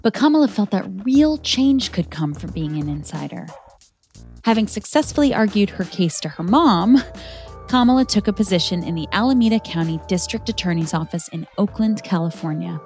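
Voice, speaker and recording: A woman's voice: medium pitch, animated, moderate pace, a little mellow, faint music behind. A woman narrating a scripted biography as a children's story, explaining things in simple words.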